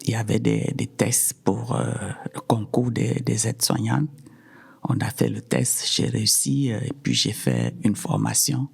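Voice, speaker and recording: A middle-aged woman, giving personal testimony in a halting way, often repeating words. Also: rumbling low, animated, creaky, darkish tone, husky. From Cameroon. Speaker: podcast guest.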